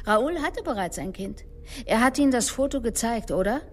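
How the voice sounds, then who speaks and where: A woman's voice: on the high side, lively intonation, normal speed, a little hiss or hum, natural voice. An actress playing a sardonic, menacing police detective in a radio drama, needling and sarcastic as she questions suspects. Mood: accusing, sardonic. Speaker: police investigator.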